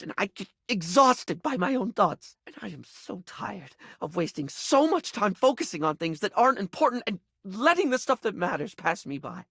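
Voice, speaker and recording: A man voicing a flamboyant but insecure young male makeup character in a dating video game, theatrical, stammering and self-deprecating, with a breathy, creaky voice. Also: high voice, a bit bright, no echo, studio quality, full character voice.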